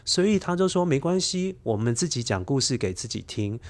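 A man's voice: medium pitch, big pitch swings, fairly quick. A middle-aged man with a Taiwanese accent, a storyteller speaking as an interview guest and sharing personal anecdotes in conversation.

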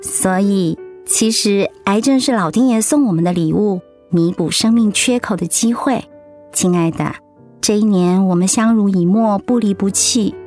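A woman's voice: mid-range voice, moderate pace, a little mellow, lightly acted. Woman acting a tender middle-aged wife, music behind. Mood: tender, earnest. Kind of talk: radio drama acting. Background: background music.

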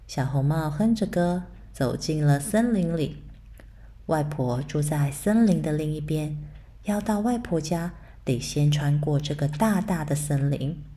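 A woman narrating a bedtime story for children in a soft, soothing way. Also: sits low, a little slow, slight background noise. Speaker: storyteller mother.

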